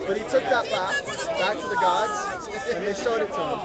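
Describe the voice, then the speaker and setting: A man's voice: sits high, very animated, normal speed. A man reading a story aloud to a live group, in an interactive storytelling style. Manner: prompts listeners to fill in words. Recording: outdoor live mobile stream, music and crowd. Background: music, crowd chatter, street sounds.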